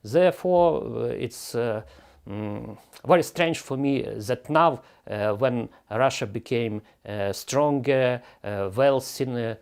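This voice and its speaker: An older man from western Ukraine, a retired air force colonel answering interview questions in halting English with many pauses. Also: mid-range voice, creaky, darkish tone, clean tone.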